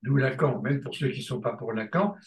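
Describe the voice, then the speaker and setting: A man's voice: medium pitch, fairly quick. Hesitant male lecturer in an echoey room. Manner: hesitant, many restarts and filler sounds, emphatic repetition. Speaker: seminar lecturer in psychoanalysis. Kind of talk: spontaneous seminar teaching.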